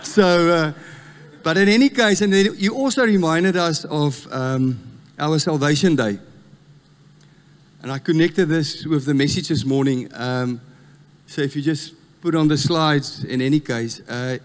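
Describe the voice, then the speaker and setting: A man in his fifties with a South African Afrikaans accent, preaching a sermon and telling stories with lively, self-mocking humour. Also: fairly high pitch. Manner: storytelling, animated, laughs at himself. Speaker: guest preacher. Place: church hall. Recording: long church reverb.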